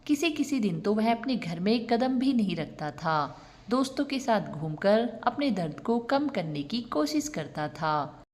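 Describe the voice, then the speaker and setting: A woman narrating a scripted moral story in an expressive, sentimental way. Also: fairly high pitch, a little slow. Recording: long reverb on the voice.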